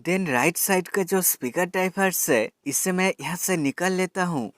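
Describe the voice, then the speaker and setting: A man's voice: high-pitched, moderate pace, no room sound, soft background music. A man presenting a tech product demonstration, explaining step by step in an instructional way and addressing viewers as friends. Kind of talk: product demonstration and how-to voice-over.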